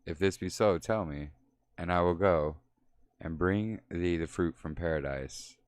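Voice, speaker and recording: A man's voice: low-pitched, unhurried, clean tone, no echo. A man reading the lines of an earnest, devoted son in archaic speech.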